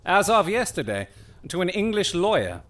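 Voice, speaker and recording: A man playing a cool, shrewd detective in an audio drama, speaking with formal, measured courtesy and dry irony. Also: sits high, sing-song, a bit bright. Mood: assured, matter-of-fact. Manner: measured, formal, dryly ironic.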